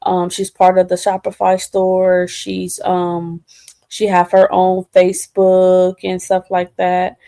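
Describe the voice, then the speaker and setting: Female American co-host, unhurried and halting, soft dark timbre, for relaxed conversation. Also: on the low side, creaky, no echo. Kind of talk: casual chat, interviewing.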